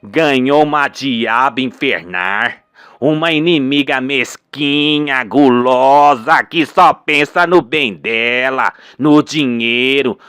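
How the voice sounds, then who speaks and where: A man's voice: medium pitch, slow, a bit bright, clear, resonant tone, no room sound, clean studio sound, pitched below the performer's own voice. A man voicing an older rural father, indignant and vengeful, with folksy, emphatic speech. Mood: scornful, determined.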